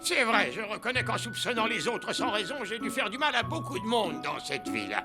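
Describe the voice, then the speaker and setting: An actor voicing a grumpy, excitable male tyre shop owner in a French cartoon dub. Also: mid-range voice, brisk, over loud music, lightly acted. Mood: contrite.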